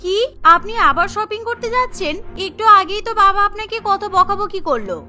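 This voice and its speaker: A nosy young daughter-in-law from a cartoon, voiced by a woman, pitched well above her usual voice. She fires off reproachful, scolding questions. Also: animated, moderate pace, bright, forceful tone, faint music behind, exaggerated voice.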